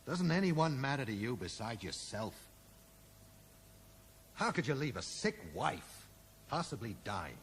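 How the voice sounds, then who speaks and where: A man's voice: medium pitch, sing-song, unhurried, long pauses. An actor in a 1980s British radio drama playing a disillusioned, morally indignant man, terse, probing and sardonic. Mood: accusing. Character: disillusioned, morally indignant man confronting his former best friend. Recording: radio drama recording with faint noise.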